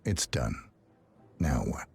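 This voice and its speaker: A man's voice: slow, over music, close to an everyday voice. A man playing a stoic, cold professional assassin in a video game, speaking in terse, controlled phrases. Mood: curt, businesslike. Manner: terse, deadpan wording, controlled. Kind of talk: dramatic video game acting.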